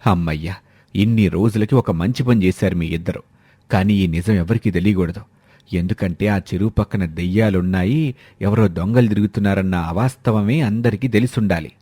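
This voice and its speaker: A man voicing a shrewd village father-in-law in a cartoon moral story, instructing the family in a measured manner. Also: low-pitched, warm tone, slightly rough, no room sound. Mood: approving, cautioning.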